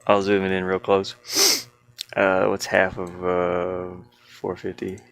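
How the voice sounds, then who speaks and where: A man's voice: low voice, completely flat delivery, slow, vocal fry, fairly bright tone. A man casually thinking aloud in an amused, rambling way while commentating on his own game-building screen share. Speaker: indie game developer.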